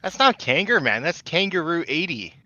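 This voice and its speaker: A man joining a casual livestream as a guest, making blunt, quick remarks. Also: a little high, big pitch swings, bright, forceful tone, no echo, dull audio.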